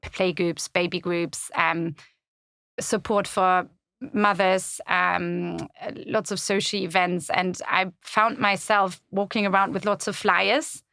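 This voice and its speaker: German-accented woman, low gravelly voice, relaxed interview guest, dry studio mic. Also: slow pace, dry recording. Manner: thoughtful, many fillers and restarts. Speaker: podcast guest, community website founder. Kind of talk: casual interview chat. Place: podcast studio.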